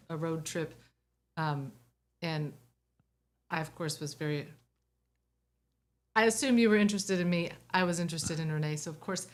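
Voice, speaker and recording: A woman chatting as a guest, telling a lively personal story and acting out what people said. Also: sits low. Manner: animated, acts out quotes. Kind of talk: casual storytelling.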